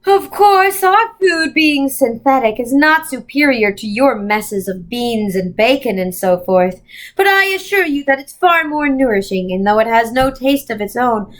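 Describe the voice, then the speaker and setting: A woman voicing a menacing, coolly amused man who speaks by thought in a storybook, slow, deliberate and condescending. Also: fairly high pitch, natural voice. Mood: smugly patient.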